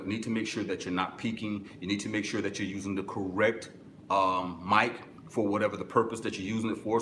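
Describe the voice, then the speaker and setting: A middle-aged man with a deep voice, hosting a live-stream webinar and giving direct, instructive answers to viewer questions from a small office. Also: fairly bright tone, roomy sound, slight background noise. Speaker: music business webinar host. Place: small office with corkboard, indoors.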